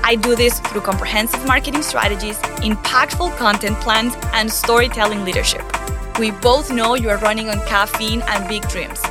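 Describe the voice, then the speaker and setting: A woman's voice: fairly high pitch, fairly even intonation, normal speed, over loud music. Earnest woman podcast host from Venezuela. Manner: earnest, reflective storytelling. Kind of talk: casual solo podcast talk.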